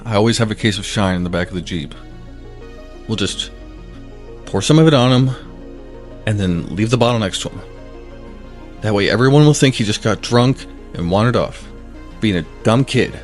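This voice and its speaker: An actor playing a practical, reluctant but loyal small-town friend in an audio drama, plain-spoken and skeptical. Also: male voice, fairly low pitch, moderate pace, pauses often, faint music behind, lightly acted.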